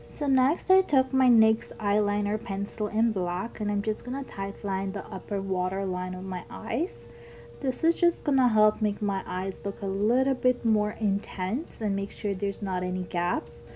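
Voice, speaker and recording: A woman's voice: medium pitch, measured pace, mellow, low-quality recording. A young woman with a childlike voice narrating a makeup tutorial in a chatty, upbeat way. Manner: chatty, upbeat product talk with ums. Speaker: beauty vlogger.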